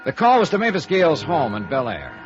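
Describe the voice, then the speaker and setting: An actor playing a wry, probing middle-aged American insurance investigator in 1950s radio noir, talking and narrating in a conversational way. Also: male voice, on the high side, wildly swooping pitch, brisk. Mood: brisk narrating. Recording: dull vintage radio recording, music behind.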